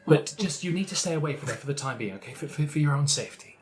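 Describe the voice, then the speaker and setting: An improviser plays a protective character in a tabletop game. The delivery is fond and coaxing, with stammered, emphatic repeats and an indignant streak, at a medium pitch. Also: animated, rapid-fire, slight background noise, natural voice. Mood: concerned, indignant. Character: protective, indignant adult, fondly calling others darling.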